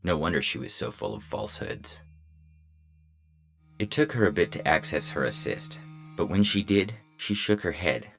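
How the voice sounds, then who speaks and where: Deep gravelly robot lawman voiced by a man. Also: moderate pace, slight background noise. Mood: coolly officious. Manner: deadpan, clipped, matter-of-fact. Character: robot lawman, polite, officious, coolly controlling. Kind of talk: first-person science fiction audio story. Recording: music bed under the voice.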